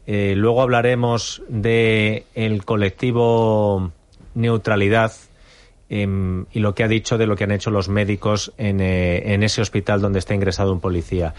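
A man from Spain hosting a talk radio show, halting with fillers and restarts, turning pointed and indignant in his commentary. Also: on the low side, level tone, a little mellow, no echo. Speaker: radio host. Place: radio studio.

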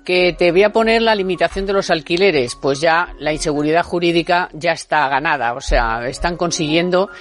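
A woman's voice: on the low side, normal speed, hardly any pauses, soft background music. A woman hosting a radio talk show from a studio, talking in an emphatic, colloquial and sarcastic way.

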